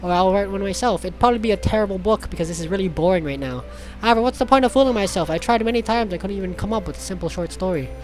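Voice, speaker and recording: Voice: medium pitch, rapid-fire, few pauses, soft background music. A streamer reading a visual novel aloud in a casual way, stumbling over the text and dropping sarcastic, sweary asides.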